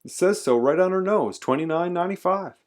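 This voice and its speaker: A man's voice: sits high, animated, a little fast, voice pushed up. A man voicing a stubborn, officious male cashier in a children's story. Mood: insistent.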